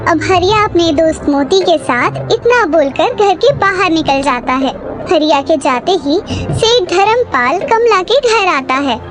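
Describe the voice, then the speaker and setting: A woman's voice: very high-pitched, expressive, a little slow, music in the background. A woman narrating a cartoon story from a script.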